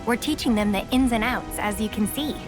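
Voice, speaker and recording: A woman's voice: on the high side, brisk, over music, lightly acted. An actress playing a patient, practical woman teacher in a video game cutscene. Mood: explaining.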